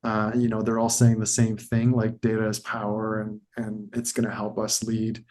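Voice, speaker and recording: Monotone guy co-hosting a podcast, french is his first language, kinda rambly. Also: fairly low pitch, moderate pace. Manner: rambling, hedging with fillers and restarts. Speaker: podcast co-host. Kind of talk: casual conversational interview.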